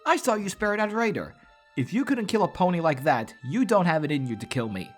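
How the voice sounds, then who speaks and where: A man's voice: high voice, big pitch swings, fairly quick, voice pushed up. Man voicing a sarcastic, swooping unicorn bandit. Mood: taunting. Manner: sarcastic, taunting. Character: cynical, smug, threatening unicorn pony bandit. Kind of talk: audiobook character voice. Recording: soundtrack music under the voice.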